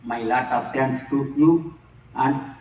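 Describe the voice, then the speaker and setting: A man with a Tamil Indian English accent, a grandmaster giving a slow, halting formal speech to an audience. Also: mid-range voice, muffled recording, slight background noise. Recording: echoey hall, mic far off.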